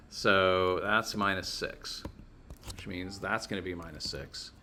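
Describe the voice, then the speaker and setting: A man's voice: low voice, steady tone, slow pace, bright, forceful tone, slight background noise. A man teaching a casual hands-on technical lesson, informally thinking aloud as he works through each step.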